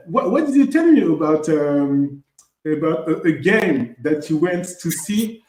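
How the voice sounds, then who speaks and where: A man's voice: fairly high pitch, sing-song, measured pace, a little mellow, echoey room, low-quality recording. A man co-hosting a podcast, talking casually with frequent "you know" fillers and restarts. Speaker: podcast co-host.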